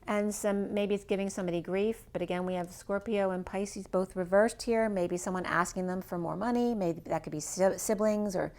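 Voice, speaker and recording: A woman's voice: medium pitch, fairly even intonation, normal speed, few pauses, darkish tone. A woman giving a general tarot reading for a star sign. She rambles loosely, stacks up one possibility after another and thinks aloud with frequent "right?" asides. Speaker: tarot reader.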